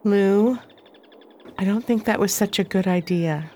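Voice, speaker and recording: A woman's voice: mid-range voice, a little slow, dark tone, slight background noise, natural voice. An actress playing a preacher's caring wife who asks after his day in a radio drama. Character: gentle, concerned wife of a preacher.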